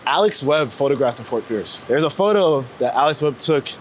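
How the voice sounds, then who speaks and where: A man's voice: mid-range voice, expressive, brisk. Candid American man chatting over street noise. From United States, Florida. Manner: informal and candid, with slang and swearing. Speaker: street photographer being interviewed. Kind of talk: casual reflective chat. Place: outdoors on New York city streets. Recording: outdoor street noise with passing vehicles. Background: city traffic ambience.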